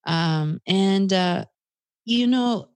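A woman's voice: mid-range voice, extremely slow, smooth, no echo. A woman from the United States telling her personal story reflectively as an interview guest. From United States, South Carolina.

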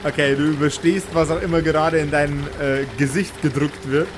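A man's voice: a little high, fairly even intonation, fairly quick, over music. A man narrating an improvised fantasy tabletop game as its game master.

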